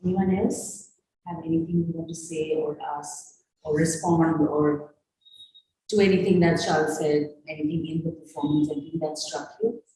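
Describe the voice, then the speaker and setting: A woman hosting an arts discussion, speaking off the cuff in halting, thoughtful phrases. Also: low voice, fairly even intonation, warm tone, a little hiss or hum. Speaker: host of a post-performance discussion. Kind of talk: unscripted spoken reflection at an arts event. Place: reverberant indoor event space. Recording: very echoey room.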